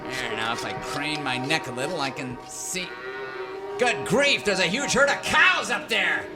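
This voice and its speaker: An actor playing a scheming, fast-talking showbiz agent in a radio comedy, blustery and easily exasperated. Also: male voice, on the high side, lively intonation. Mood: exasperated, angry. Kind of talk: old-time radio serial parody acting. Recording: music behind the voice.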